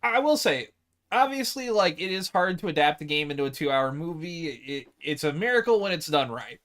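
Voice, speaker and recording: A man with a high voice and very animated intonation co-hosts a casual review podcast. He talks informally, qualifying his opinions and swearing mildly. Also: brisk, crowd noise. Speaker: podcast co-host. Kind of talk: casual chat, film review.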